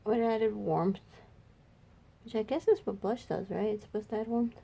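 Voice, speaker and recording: A woman makeup vlogger chatting casually and thinking aloud, her voice a little raspy and stuffy from a cold. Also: medium pitch, normal speed, mellow. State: slightly raspy, congested from a cold. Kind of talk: casual makeup swatch review chat.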